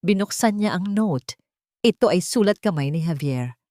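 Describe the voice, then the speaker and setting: A woman with a Filipino accent narrating a scripted drama story in measured, emphatic phrases. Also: lowish voice, lively intonation, dark tone, dry recording, clean studio sound.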